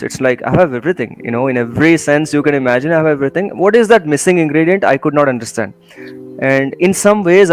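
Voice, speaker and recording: A young man from India recounts his journey in a relaxed interview, pausing often on fillers and breaking into short laughs. His voice sits at an ordinary middle pitch, with faint music under it. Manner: halting, with frequent fillers and soft laughs. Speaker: guest. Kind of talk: casual spoken interview, personal story.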